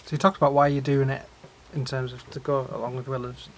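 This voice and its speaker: A man's voice: mid-range voice, flat delivery, fast talker. A man talking as a teacher giving informal feedback, with rambling, tentative phrasing. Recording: noisy room recording.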